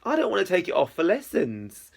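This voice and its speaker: A man's voice: high-pitched, wildly swooping pitch, a little fast, creaky, voice pushed up, exaggerated voice. A man voicing a sincere, shy young schoolgirl in a children's storybook, earnest with playful bursts. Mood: upset. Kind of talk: children's storybook character dialogue.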